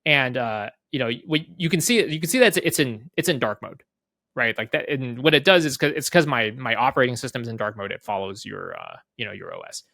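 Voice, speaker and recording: A man with a mid-pitched voice gives an informal software walkthrough at a brisk clip. He explains conversationally, regularly checking that the listener follows and acting out short bits of dialogue. The sound is dry, with very little room. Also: animated, vocal fry, no room sound. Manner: conversational, often checks in with tag questions and acts out short exchanges. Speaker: guest product leader giving a software demo. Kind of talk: casual off-the-cuff product walkthrough.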